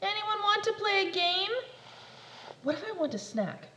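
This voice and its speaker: A woman's voice: very high-pitched, big pitch swings, moderate pace, echoey room, background noise, voice pushed up. A woman voicing an impatient young male caterpillar who pleads and frets dramatically.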